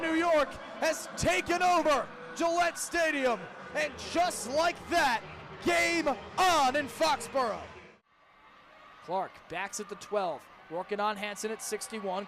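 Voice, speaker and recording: Young American male radio play-by-play announcer, very high pitch, dramatic delivery, faint venue noise behind. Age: young adult. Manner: emphatic, dramatic calls that swell into shouting. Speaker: student radio play-by-play broadcaster. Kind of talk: live lacrosse radio commentary. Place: stadium, calling games in person.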